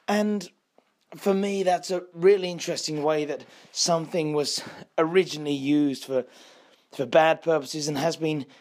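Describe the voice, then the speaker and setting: A man, a croaky and husky voice, hosting a solo podcast and telling history in a chatty, explanatory way. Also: a little high, fairly even intonation, normal speed, darkish tone. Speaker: podcast host and escape artist.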